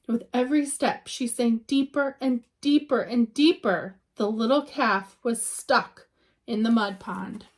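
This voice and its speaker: A woman reading a children's picture book aloud in an expressive storytelling style, with dramatic emphasis and drawn-out words. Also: fairly high pitch.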